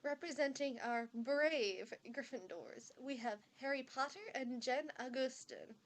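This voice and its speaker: A woman's voice: high voice, measured pace. A woman playing a stern but kindly older witch professor, formally addressing pupils in an amateur audio drama. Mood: ceremonial.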